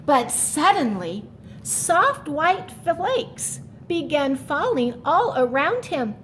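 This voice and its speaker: High-voiced woman reading a children's story. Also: takes it slowly, a little hiss or hum, low-quality recording. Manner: animated, explains the story in asides. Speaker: story reader. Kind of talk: expressive children's read-aloud.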